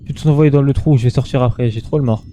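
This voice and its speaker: A man's voice: mid-range voice, rapid-fire, mellow, soft background music. A man doing casual gameplay commentary in an informal, slangy way, muttering to himself and chatting to his viewers. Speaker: gaming streamer.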